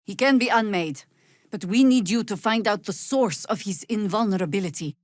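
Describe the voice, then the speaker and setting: A woman's voice: mid-range voice, normal speed, no room sound, lightly acted. A woman playing an older, seasoned druid warrior in a fantasy video game, wry, dry and commanding in manner. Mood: dry, resolute.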